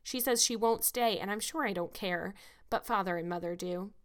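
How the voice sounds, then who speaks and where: A woman voicing a loyal, spirited young girl with a lively, dramatic manner, in a storybook reading. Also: mid-range voice, normal speed, lightly acted. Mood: gossipy dread.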